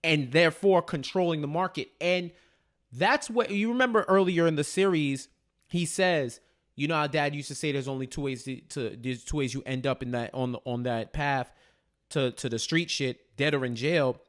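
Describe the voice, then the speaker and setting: A man with a fairly high voice co-hosts a casual show recap. He is emphatic and slangy, often repeating a phrase to drive it home. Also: moderate pace. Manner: colloquial, slangy. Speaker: podcast co-host.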